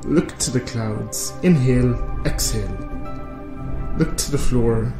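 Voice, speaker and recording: An actor playing a serene, condescending, passive-aggressive yoga instructor leading a class in a radio drama. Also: male voice, mid-range voice, a little slow, lightly acted. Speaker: yoga teacher. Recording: roomy echo, music behind.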